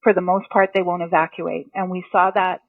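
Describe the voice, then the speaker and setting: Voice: medium pitch, flat delivery, a little fast. An interview guest gives plain, matter-of-fact answers. The voice comes through a tinny, phone-like remote connection with a dry, close sound and no room echo. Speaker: animal emergency response guest.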